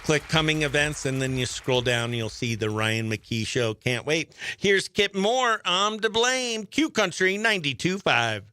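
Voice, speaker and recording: Male radio host, chatty and upbeat, higher-pitched, recorded close and dry in a studio. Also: lively intonation, a little slow, hardly any pauses, pushed and bright, smooth, no echo. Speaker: stand-up comedian. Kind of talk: casual morning radio chat with livestream viewers.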